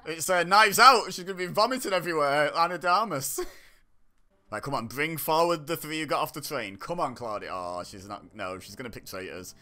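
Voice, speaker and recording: A man from the UK talks through a reality show episode in loose, chatty bursts. His voice is bright and forward, and he laughs and trips over his own sentences as he jokes. It sounds like a close mic in a home setup. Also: fairly high pitch, lively intonation, a little fast. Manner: chatty, joking, laughs often, self-interrupting. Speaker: online reaction host. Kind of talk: casual reaction commentary.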